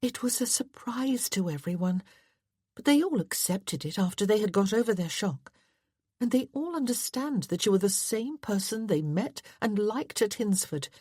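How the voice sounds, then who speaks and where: A woman's voice: medium pitch, lively intonation, moderate pace, darkish tone, husky, no echo, clean studio sound, pitched above the performer's own voice. A woman voicing an earnest, polite wife in a period romance audiobook, with a pleading manner. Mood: reassuring.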